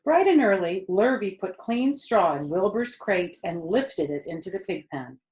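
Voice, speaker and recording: A woman storyteller reading a children's book expressively, lingering deliberately on the ends of phrases. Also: mid-range voice, expressive, a little mellow, echoey room.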